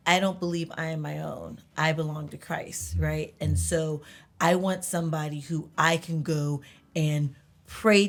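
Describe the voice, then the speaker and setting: A woman co-hosting a talk show, sharing her views in casual discussion. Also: deep voice.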